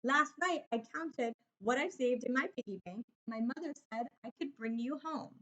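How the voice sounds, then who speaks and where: A woman's voice: sits high, normal speed, fairly bright tone, dull audio. A woman reading a storybook, voicing an eager, affectionate little girl with a sweet, earnest manner. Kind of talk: children's storybook read-aloud.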